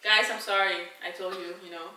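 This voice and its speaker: A woman's voice: mid-range voice, steady tone, fairly quick, heavy background noise. A woman asking a friend questions in a casual chat, playful and teasing. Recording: echoey room, mic at a distance.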